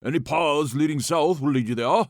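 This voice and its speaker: A man acts out a scholarly old gentleman who is an enchanted signpost in a storybook. His voice sits slightly high in a dry recording, and he chats in a folksy, jokey, courtly way, like a proud salesman. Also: moderate pace, dry recording, lightly acted. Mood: helpful, folksy. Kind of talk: audiobook character voice.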